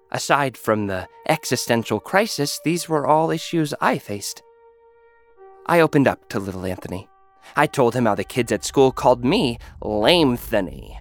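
A man narrating a first-person science-fiction audio drama in a dry, deadpan manner. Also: medium pitch.

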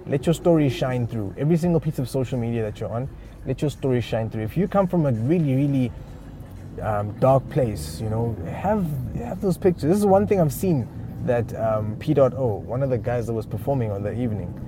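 A man's voice: medium pitch, expressive, brisk, background noise. A man from Uganda, giving casual unscripted advice on music careers with lots of fillers and restarts. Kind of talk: casual spoken advice monologue.

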